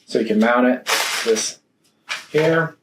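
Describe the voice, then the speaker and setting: A man giving a casual, hands-on product review, thinking aloud in a halting way. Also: mid-range voice. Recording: roomy echo, faint background noise.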